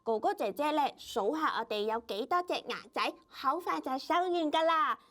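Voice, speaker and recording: A woman's voice: sits high, normal speed, fairly bright tone. A woman playing a chatty, bossy five-year-old big sister in a children's puppet show, speaking with a Hong Kong Cantonese accent in a childlike way. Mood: cheerful, explaining. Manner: childlike, sing-song particles, explains step by step.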